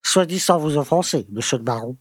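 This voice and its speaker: An actor playing a pompous, grumbling conservative small-town mayor who lectures and scolds in a French audio drama. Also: male voice, fairly high pitch, animated, brisk, husky, dry recording. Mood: sceptical, pompous.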